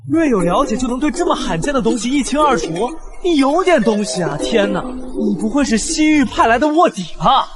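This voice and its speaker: A man playing a brash, cheeky young hero in an animated web drama, challenging and mock-dramatic in the way he talks. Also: extremely high pitch, brisk, hardly any pauses, over a noisy crowd. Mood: mock suspicion.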